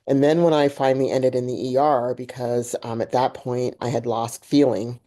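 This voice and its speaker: A woman hosting a podcast with a deep voice, talking candidly and animatedly through a personal story. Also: normal speed. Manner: candid, animated, laughs while telling a story. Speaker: podcast host. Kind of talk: conversational interview with personal storytelling.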